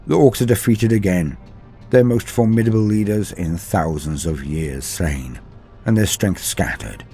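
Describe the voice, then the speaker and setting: A man giving a measured, dramatic scripted narration of a fictional history. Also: sits low, dark tone, faint music behind. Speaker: lore narrator.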